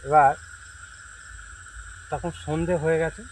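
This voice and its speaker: A man acts out a village ghost storyteller with a slightly high voice. He builds suspense in pauses and keeps checking that his listeners follow, as in an amateur drama. The outdoor night recording has insect noise behind it. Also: fairly even intonation. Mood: inviting, suspenseful. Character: elder male friend telling a village ghost story, secretly a ghost. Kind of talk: acted conversational ghost storytelling.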